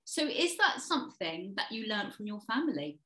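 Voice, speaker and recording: A woman's voice: medium pitch, big pitch swings, normal speed, sharp tone, echoey room. A woman hosting a video-call interview as a happiness coach, asking upbeat, encouraging questions. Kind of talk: interview host.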